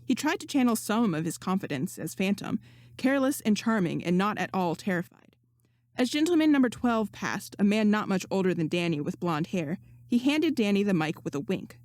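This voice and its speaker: A woman reading fan fiction aloud as narrator, in an expressive audiobook style. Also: mid-range voice, moderate pace. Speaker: podfic narrator.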